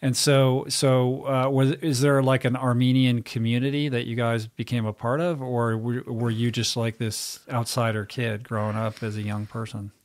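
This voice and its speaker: A man's voice: mid-range voice, flat delivery, normal speed, hardly any pauses. Casual, chatty male podcast host. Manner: casual, chatty, many "you know" and "like". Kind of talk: conversational interview.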